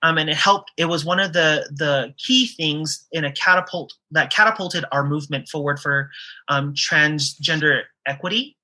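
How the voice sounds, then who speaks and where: A woman's voice: low voice, normal speed, sharp tone. A woman guest on a podcast interview, speaking earnestly with many um fillers and restarts.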